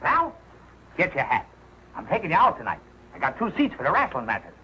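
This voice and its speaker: An actor playing a cocky, needling working-class pal with a pushy manner in a 1940s television sitcom. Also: male voice, on the high side, wildly swooping pitch, fairly quick, sharp tone, exaggerated voice. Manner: pushy, mocking, ungrammatical. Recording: muffled, noisy old television soundtrack.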